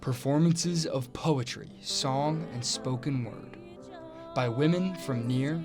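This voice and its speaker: A man's voice: medium pitch, takes it slowly, over music. A young man delivering scripted broadcast news as a student TV reporter. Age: young adult.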